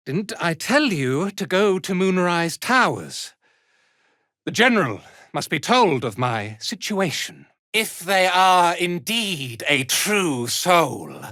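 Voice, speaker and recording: An arrogant fantasy game villain, a zealot commander who sneers at everyone beneath him. He speaks very slowly and deliberately, with theatrical swings in pitch, in a close, dry recording. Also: pushed and bright, no echo, heavily acted. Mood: urgent, indignant. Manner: haughty, imperious, theatrical delivery. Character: arrogant, contemptuous drow zealot commander, cruel and impatient. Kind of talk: dramatic video game voice acting.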